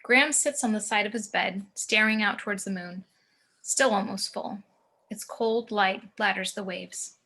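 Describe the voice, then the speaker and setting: Woman reading stage directions for a script read, bright clear-cut tone, average pitch. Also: normal speed, poor audio. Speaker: narrator of screenplay action. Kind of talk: scripted narration, screenplay table read.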